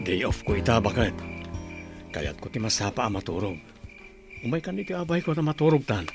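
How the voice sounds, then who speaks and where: A man's voice: medium pitch, animated, fairly quick, music in the background, lightly acted. A male actor plays a tired, neglected working husband in a Filipino radio drama. His mid-range voice has a Filipino accent and a plaintive, complaining manner, that of a man who has put up with a lot. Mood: weary, pleading. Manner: complaining, long-suffering.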